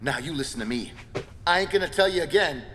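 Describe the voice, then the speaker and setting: A gruff American tough guy, swinging pitch. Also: animated, fast talker, pushed and bright, big-room sound, slight background noise. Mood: furious, demanding. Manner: gruff, blunt, confrontational. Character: tough, foul-mouthed, vengeful male action hero. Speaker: game voice actor. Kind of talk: video game cutscene acting.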